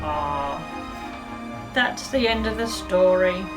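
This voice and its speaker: A woman's voice: lowish voice, animated, slow pace, over loud music. A woman reading a picture book aloud to young children, adding chatty asides and questions to her listeners. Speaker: children's storyteller.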